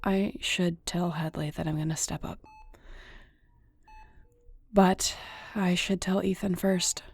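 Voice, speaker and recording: A woman playing a surly, protective werewolf alpha in an audio roleplay, with a gruff, blunt, dry manner. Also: lowish voice, fairly even intonation, takes it slowly, full character voice. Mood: tender, wry. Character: surly young female werewolf alpha, guarded, fiercely protective.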